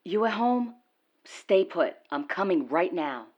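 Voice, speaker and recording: A woman's voice: fairly low pitch, animated, takes it slowly, lo-fi sound. An actress playing a breezy, protective best friend who likes to mother, in an audio drama. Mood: reassuring.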